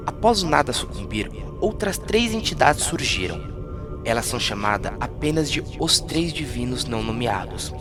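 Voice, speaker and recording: A man with a Brazilian accent gives a scripted, dramatic narration of a fantasy myth in a solemn storytelling manner. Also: medium pitch. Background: music.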